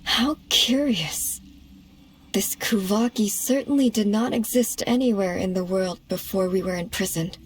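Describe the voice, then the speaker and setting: Woman acting grave game goddess, mid-pitched, measured, formal, perceptive, soft music behind. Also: close to an everyday voice, rough recording. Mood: grave, cautioning. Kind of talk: anime game cutscene acting.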